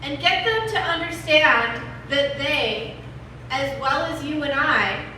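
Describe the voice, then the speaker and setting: A woman playing a determined veteran civil rights organizer, delivering a rally speech with deliberate, emphatic pauses. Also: high-pitched, expressive, sharp tone, exaggerated voice. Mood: earnest, resolute. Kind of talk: dramatic reading of a rally speech. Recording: distant, very echoey room, faint noise.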